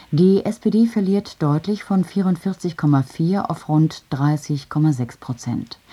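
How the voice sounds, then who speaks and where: A woman's voice: sits low, mellow, slightly rough. A woman with a standard German accent reading scripted radio news. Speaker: radio newsreader.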